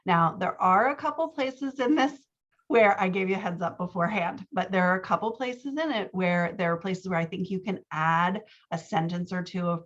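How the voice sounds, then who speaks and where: A woman's voice: on the low side, highs cut off. A woman working as a writing coach and podcast host, giving casual, encouraging feedback in conversation. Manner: encouraging, affirming.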